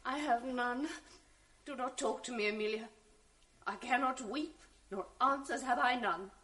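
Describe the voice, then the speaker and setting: An actress playing a wronged innocent young wife in a radio drama of classical verse, pleading and then hushed. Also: female voice, on the high side, a little slow. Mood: stunned hushed.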